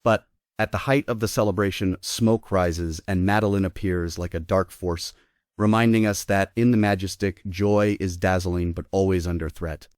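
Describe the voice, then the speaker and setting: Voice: low-pitched, fairly even intonation, normal speed, a little mellow, no room sound, clean studio sound. A narrator reading scripted stage directions at a theatre table read.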